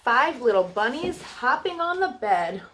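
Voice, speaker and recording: Slow, higher-voiced woman reading to children. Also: big pitch swings, a bit bright, a little hiss or hum. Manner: sing-song, addressing children directly. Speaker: children's storytime host. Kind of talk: read-aloud storytelling for young children.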